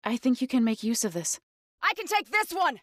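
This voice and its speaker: Female game warrior companion: earnest, high register, clipped emphatic combat lines, close dry studio sound. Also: sing-song, brisk, dry recording. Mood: calm, supportive. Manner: short, emphatic battle callouts. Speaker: video game character.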